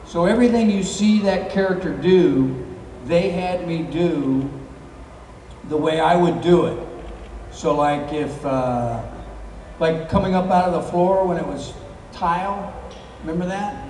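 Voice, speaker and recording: A middle-aged man speaks into a handheld mic on a big stage, his fairly high voice rolling through a long-reverb hall. He rambles through his stories with plenty of fillers, heard from far back in the crowd with faint room hum. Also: unhurried. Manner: relaxed, rambling storytelling with many fillers. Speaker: convention guest actor. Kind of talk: live stage Q&A. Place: large convention hall, stage. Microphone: handheld mic, filmed from far in the crowd.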